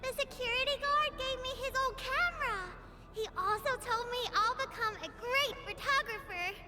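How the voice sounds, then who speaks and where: A woman voicing an excitable, whiny, adoring little child in a video game, with an eager, pouty way of talking. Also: extremely high pitch, normal speed. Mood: eager, teasing. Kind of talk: video game character acting. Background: music.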